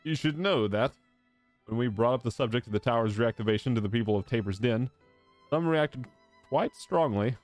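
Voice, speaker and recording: A man voicing an earnest statesman from a fantasy game in a formal, declamatory, measured way. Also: medium pitch, darkish tone, close to an everyday voice. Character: formal, earnest statesman addressing his war-weary nation. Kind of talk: reading fantasy game dialogue aloud. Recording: game music playing behind the voice.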